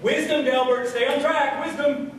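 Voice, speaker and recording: A man's voice: very high-pitched, fairly even intonation, normal speed. A man playing a folksy small-town host of a comedy radio show. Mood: patient prompting. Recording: very echoey hall, distant and noisy.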